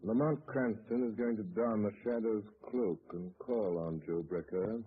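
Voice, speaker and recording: An actor playing a calm, cultured male hero of mystery in an old radio drama. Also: lowish voice, moderate pace, close to an everyday voice. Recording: tinny old radio recording.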